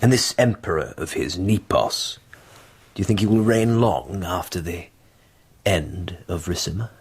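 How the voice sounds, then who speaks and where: An actor playing a blunt, hard-bargaining barbarian army commander in a radio drama, measured and weighty. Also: male voice, low-pitched, slightly rough, heavily acted. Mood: shrewd.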